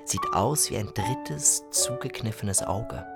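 A man narrating a literary story for a radio feature in a measured storytelling manner. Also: fairly low pitch, expressive, gravelly. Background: music.

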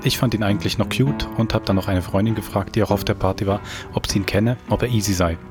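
A man hosts a podcast in a fairly low voice. He presents and reads aloud conversationally. Music plays clearly behind him. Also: fast. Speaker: podcast host. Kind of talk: podcast presenting, reading aloud a listener's letter. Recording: music underneath.

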